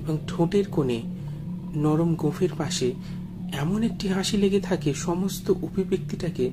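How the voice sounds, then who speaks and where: A man narrates a first-person story in a measured, suspenseful and expressive way. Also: on the high side, background noise. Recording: music behind the voice. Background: music.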